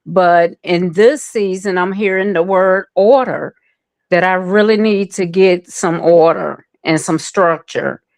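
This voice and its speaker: A woman's voice: on the low side, slow, a little mellow, no room sound. A woman taking part in a group discussion, sharing personal reflections in a conversational way. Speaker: participant in a group coaching discussion.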